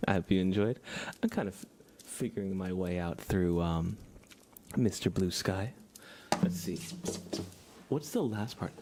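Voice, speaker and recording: A young man doing a casual ASMR livestream chat, relaxed and halting, softly spoken and often slipping into whispers. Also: sits low, noisy background. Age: young adult. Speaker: ASMR livestreamer chatting with viewers.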